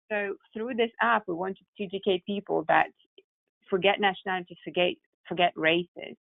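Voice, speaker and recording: A woman's voice: mid-range voice, no room sound. A woman with a Russian accent, telling her story as a company founder in a conversational interview, over a muffled, narrow recording. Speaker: tech company founder, podcast guest. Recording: muffled narrow phone-like sound.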